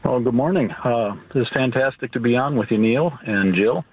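A man calling in to a radio show, asking his question hesitantly with many pauses and restarts. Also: medium pitch, breathy edge, no echo. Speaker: caller. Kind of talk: call-in question. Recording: dull phone line.

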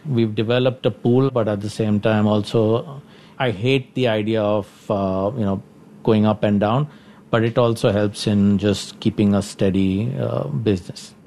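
A man from India with an Indian accent, an executive giving informal interview answers, halting and repeating himself as he thinks. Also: lowish voice, steady tone, dark tone, slight background noise. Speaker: company executive being interviewed.

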